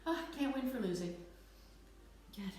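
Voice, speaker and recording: A woman with a slight Dutch accent guides a stretching class, her cues gentle and encouraging. Her voice sits a little high. It rings through a very echoey room, picked up from a distance with faint ambience behind. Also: animated, a little slow, a little hiss or hum. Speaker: fitness instructor.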